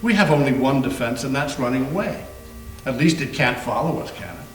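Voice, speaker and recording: A man's voice: medium pitch, expressive, fairly quick, natural voice. A man playing a grave, eager doctor who investigates hauntings, in an amateur readers theater performance. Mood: grave, warning. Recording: echoey room with music behind.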